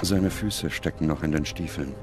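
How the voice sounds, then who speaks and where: Deep-voiced man narrating a documentary over music. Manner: solemn, measured, dramatic pauses. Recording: loud music under the voice.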